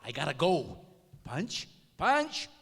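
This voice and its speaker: An actor playing an excitable volunteer fireman in an old-time radio show, recorded in an echoey, noisy hall. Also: fairly high pitch, slow, full character voice. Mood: urgent. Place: auditorium stage, live rehearsal of radio show. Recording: echoey hall, clear background noise.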